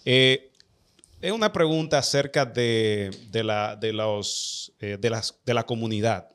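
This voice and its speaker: A man with a Dominican Spanish accent hosting a conversational interview, speaking haltingly and carefully and often repeating phrases as he frames questions. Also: on the low side, a bit bright, smooth. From Dominican Republic. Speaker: podcast host.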